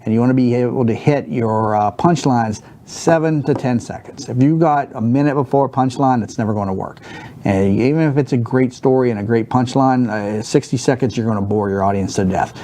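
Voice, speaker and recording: Monotone man with a dark voice. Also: mid-range voice, a little fast. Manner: rambling with many fillers and restarts. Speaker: speaker teaching stand-up basics. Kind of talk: unscripted talk to camera. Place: studio set with a wooden backdrop, seated at a desk.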